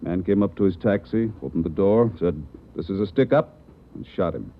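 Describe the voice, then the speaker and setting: An actor playing a brisk, commanding police chief in a 1940s radio drama. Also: male voice, deep voice, lively intonation, lo-fi sound. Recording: old radio broadcast, band-limited.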